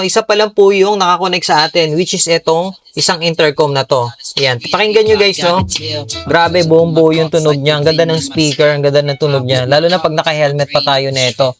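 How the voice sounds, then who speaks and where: A man's voice: fairly high pitch, fairly even intonation, fairly quick. A Filipino man giving a casual, upbeat product tutorial in a conversational way, often addressing his viewers directly. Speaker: product reviewer and tutorial presenter.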